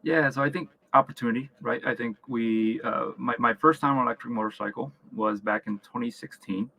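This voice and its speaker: Bright-voiced man answering interview questions haltingly. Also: medium pitch, gravelly. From Vietnam and upstate New York. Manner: halting, repeats words, often checks in with "right?". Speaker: company founder and chief executive, interview guest.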